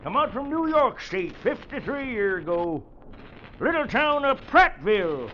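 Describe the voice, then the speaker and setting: An actor playing a cantankerous old American mule skinner in a 1940s radio western, with a folksy drawl and blustery rustic talk. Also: male voice, very high voice, sing-song, a little slow, pushed and bright, full character voice. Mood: gruff, laconic. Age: older. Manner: crotchety, blustery, rustic dialect. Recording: noisy, muffled 1940s radio recording.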